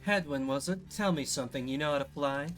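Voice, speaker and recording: A man's voice: on the high side, a little fast, soft background music, lightly acted. A man voicing a sly, flirtatious winged harpy woman from a game, with a teasing manner. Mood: confident. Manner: teasing, saying darling.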